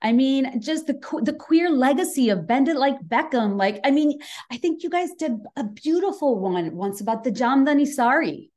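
A woman's voice: sits high, lively intonation, normal speed, hardly any pauses, darkish tone. A woman hosting a casual podcast interview, rambling enthusiastically and asking questions. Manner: rambling, enthusiastic, full of fillers. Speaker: podcast host.

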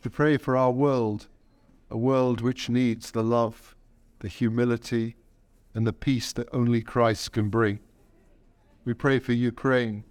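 A man leading intercessory prayers as a priest, reverent and measured, with deliberate pauses between short phrases. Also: mid-range voice, flat delivery, darkish tone, no echo. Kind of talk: intercessory prayer in a church service.

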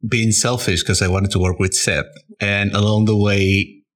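Casting: low-pitched man from Peru, reflective. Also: steady tone, normal speed, fairly bright tone. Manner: thoughtful, uneven pace, speeds up and trails off. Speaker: podcast guest. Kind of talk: casual chat.

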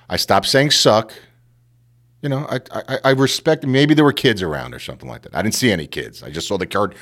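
A man from Queens, telling a casual, animated story as a guest and acting out the voices of the people in it. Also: low-pitched. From Queens, New York. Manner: animated, acts out voices, lots of "you know".

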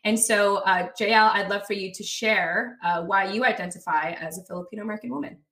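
A woman hosts a podcast in a casual, chatty way, her voice bright and forward. She laughs easily and drops lots of "like" as she talks. The room around her adds a noticeable echo. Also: mid-range voice, a little fast. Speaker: podcast host. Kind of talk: casual chat. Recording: roomy echo.

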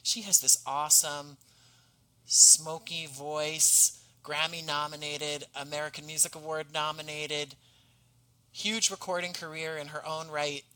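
A man's voice: on the high side, level tone, unhurried, sharp tone, rough recording. A man chatting casually as a podcast guest, talking as an audio drama creator. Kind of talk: casual chat.

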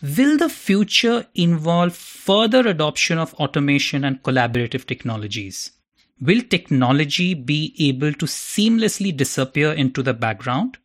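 Theatrical man hosting a podcast. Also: on the high side. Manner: theatrical, playful, self-mocking. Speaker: podcast host. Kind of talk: scripted podcast introduction and interviewing.

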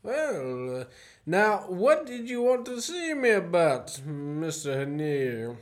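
A man acting in a student radio play as a courteous, menacing gentleman professor who is secretly a spy chief. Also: fairly high pitch, sing-song, slow pace. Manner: courteous, genteel, theatrically menacing.

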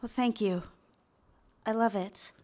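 High-voiced, confiding young secretary. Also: female voice, slow, a little mellow. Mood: flustered, touched. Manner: deferential, hesitant in dialogue, confiding in narration. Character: young secretary and secret mistress, eager, apologetic, confessional. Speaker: actress in live radio drama. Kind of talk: live radio drama acting with narration. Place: live on stage in a theater.

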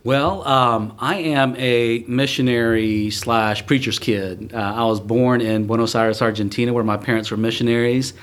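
Man, middle-aged, from South Alabama, podcast guest, even monotone delivery, humble, halting. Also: medium pitch. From South Alabama, USA. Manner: warm, humble, many "uh" fillers. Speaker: guest, malpractice lawyer. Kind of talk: casual podcast interview. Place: law firm conference room.

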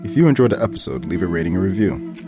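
A man's voice: low voice, sing-song, rapid-fire. A man presenting a podcast, reading a scripted sign-off. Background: loud plucked guitar theme music.

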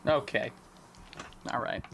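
Relaxed man running a tabletop game. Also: takes it slowly, background noise. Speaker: game master. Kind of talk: narrating a tabletop game podcast.

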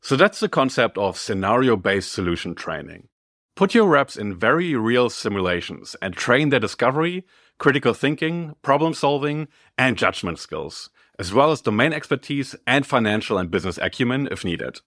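Male narrator, mid-pitched, expressive intonation, scripted business narration, instructive delivery. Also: normal speed, no echo, clean studio sound. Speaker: article narrator.

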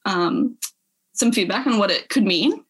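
A woman's voice: medium pitch, measured pace, breathy edge. A woman on a podcast, chatting casually and reading reviews aloud with wry amusement. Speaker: podcast speaker.

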